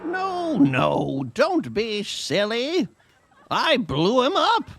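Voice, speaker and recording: An actor playing a smug, gleefully destructive wizard in an audio drama, drawn-out and playful, over faint music. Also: medium pitch, huge pitch swings, gravelly, a little hiss or hum, full character voice.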